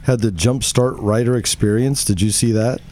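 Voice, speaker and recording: A man's voice: fairly low pitch, steady tone, moderate pace, a little mellow. A man co-hosting a podcast with casual banter, asking questions in a joking, irreverent way.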